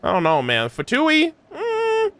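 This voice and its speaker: A man's voice: very high-pitched, wildly swooping pitch, unhurried, a bit bright, slight background noise. A man doing casual, slangy, incredulous game reaction commentary. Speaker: gaming streamer.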